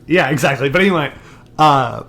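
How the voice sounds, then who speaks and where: A man's voice: on the high side, fairly quick, vocal fry, fairly bright tone. A young man co-hosting a casual podcast, telling a long story with many restarts and asides. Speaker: podcast co-host.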